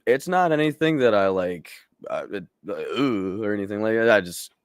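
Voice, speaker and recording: A man's voice: on the low side, lively intonation, normal speed, clean tone, no echo, studio quality. A man chatting casually and jokily as a panelist on a podcast. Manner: informal, jokey. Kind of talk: casual chat.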